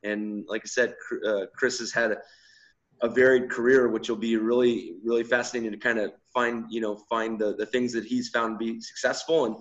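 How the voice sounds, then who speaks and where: A male co-host of middle pitch speaks casually in long, winding thoughts and often restarts his phrases. The audio sounds dull and lo-fi. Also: little pitch movement, fairly quick. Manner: discursive, restarts mid-sentence. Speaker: podcast co-host, coach. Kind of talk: casual podcast chat. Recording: muffled lo-fi audio.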